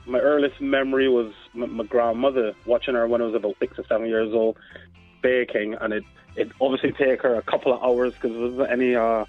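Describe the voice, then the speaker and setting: A man with a Barbadian accent, chatting casually as an interview guest. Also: mid-range voice. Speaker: interview guest, dessert business owner. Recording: tinny phone-like line, faint music.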